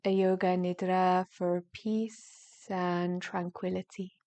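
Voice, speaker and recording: A woman leading a guided yoga nidra relaxation in a gentle, soothing manner, with soft phrases that turn breathy at the ends and long pauses between them. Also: on the low side, level tone.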